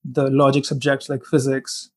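A man in his twenties from India whose first language is Hindi, a guest in a relaxed interview, reflecting on his past and often saying "like" and "you know". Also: mid-range voice, moderate pace. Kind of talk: casual interview chat.

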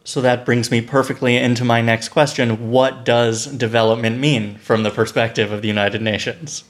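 A man hosting a podcast, reading a scripted intro and asking interview questions in an upbeat, clear way. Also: medium pitch, fairly even intonation, moderate pace. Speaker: podcast host.

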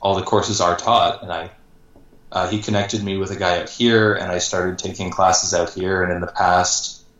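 A man in his thirties, a podcast guest, telling his story in a relaxed, rambling way with lots of fillers. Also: low-pitched, moderate pace, roomy sound, distant mic, dull audio. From born in Canada, grew up in Georgia, USA. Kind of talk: casual storytelling in an interview.

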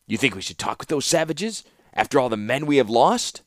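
An impulsive male guard captain speaks bluntly and forcefully, as a man puts him on. The pitch moves lively and a little raised above the reader's own, in a dry, close sound. It is a storybook voice. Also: fairly quick, no room sound, close to an everyday voice.

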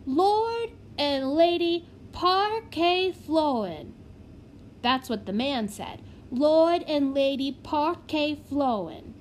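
A woman's voice: sits high, lively intonation, slow pace, a little hiss or hum, pitched above the performer's own voice. A woman voicing an eager, boastful little girl in a children's storybook, chattering in breathless run-on sentences with childish grammar. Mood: excited.

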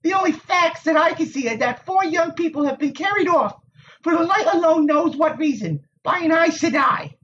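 A man doing a defiant, sharp-tongued village woman. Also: very high voice, animated, moderate pace, far from the mic, lo-fi sound, slight background noise, voice pushed up.

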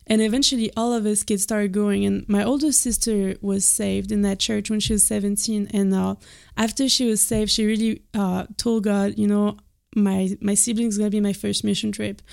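A woman's voice: medium pitch, level tone, normal speed, vocal fry, darkish tone. A woman from France with a French accent, a missionary guest in a studio, telling her story candidly with many small hesitations. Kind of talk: personal testimony in an interview.

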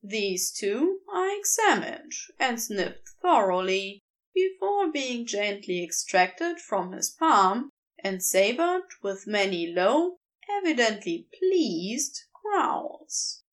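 A woman reading a fantasy story aloud, with unhurried and expressive storytelling. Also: a little high. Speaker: podfic reader. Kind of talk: scripted story narration.

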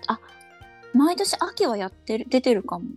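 A woman with a fairly high voice talks casually with friends on a podcast. She is eager and agreeable, full of colloquial turns. Light music plays underneath. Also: sing-song, moderate pace, breathy edge. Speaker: podcast co-host and member of an amateur voice drama group. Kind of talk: casual chat among friends. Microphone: remote call recording. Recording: background music under a remote chat.

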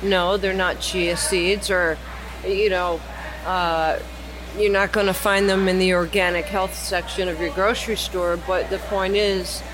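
A woman's voice: fairly low pitch, little pitch movement, a little slow. A woman talking casually and explanatorily as part of a podcast panel, recorded in a lounge. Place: cigar lounge. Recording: clearly noisy lounge recording.

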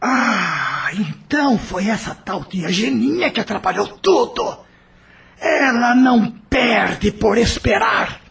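A woman playing a spiteful, mocking female spirit in a radio drama, theatrical and drawn-out. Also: mid-range voice, expressive, creaky, fairly bright tone, exaggerated voice. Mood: furious, menacing.

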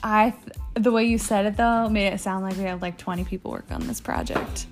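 A woman's voice: mid-range voice, fairly even intonation, a little fast, over music. A woman talking casually with her husband on a podcast, tired and wryly teasing, recorded on a phone in a living room. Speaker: podcast guest, host's wife. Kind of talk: casual chat.

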